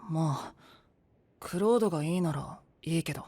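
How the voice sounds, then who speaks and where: An actor playing a skeptical, casual young knight with a husky voice in an anime-style voice drama. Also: male voice, on the high side, moderate pace, warm tone, lightly acted. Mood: doubtful, reluctant.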